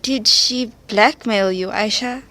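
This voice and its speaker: A woman's voice: on the high side, slow pace, pushed and bright, no room sound. A woman playing a practical, slangy teenage best friend in an audio drama. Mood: scolding. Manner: casual, slangy.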